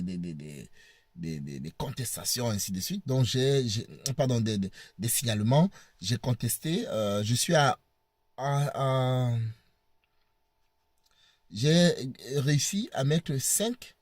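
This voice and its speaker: Man, hoarse from a cold, slow halting vlog delivery, animated pitch. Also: no room sound. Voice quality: husky. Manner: halting, repeats words, laughs often. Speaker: video blogger. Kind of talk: casual vlog to viewers. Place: in a parked car.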